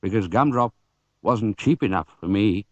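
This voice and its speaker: A British man voicing an excitable, sentimental gentleman in a storybook, speaking emphatically. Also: on the low side, normal speed, dry recording, lo-fi sound, lightly acted. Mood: wistful. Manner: emphatic, exclamatory. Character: excitable, sentimental gentleman who loves old cars.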